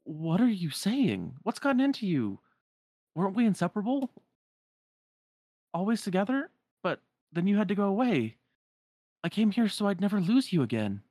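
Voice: medium pitch, big pitch swings, moderate pace, long pauses, warm tone, dry recording, pitched above the performer's own voice. A performer voicing an earnest, flustered young boy hero who stammers with devotion in a retold game story. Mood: distressed, pleading.

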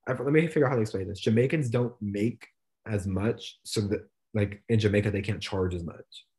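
A young man co-hosting a casual podcast, his voice soft and mellow. He rambles easily, leaning on fillers and breaking into laughs. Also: lowish voice, fairly quick. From United States. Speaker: podcast co-host. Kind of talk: casual chat.

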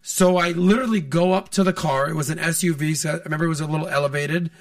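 A man in his forties hosting a casual podcast, telling stories in a blunt, animated, profane way. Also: fairly high pitch, fast, far from the mic. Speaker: podcast host.

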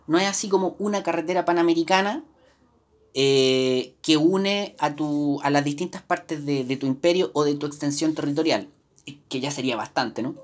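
A woman with a Chilean accent giving a live history lesson, explaining from slides with many fillers and restarts. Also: sits low. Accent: Chilean Spanish. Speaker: history teacher. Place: indoors, teaching from slides.

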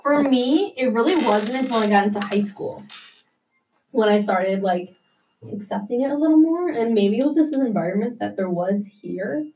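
A woman's voice: on the high side, animated, normal speed, darkish tone, distant mic, rough recording. Chatty young woman, a bit off-mic. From United States. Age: young adult. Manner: chatty, many "like" fillers. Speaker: interviewee. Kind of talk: casual interview.

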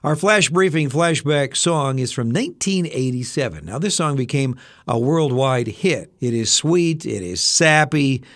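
Man, slightly hoarse, chatty news host, dry close sound. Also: mid-range voice, animated, measured pace, a bit bright, no echo. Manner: chatty, adds personal asides to the news. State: slightly hoarse, losing his voice. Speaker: radio news briefing host. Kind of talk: casual daily news briefing.

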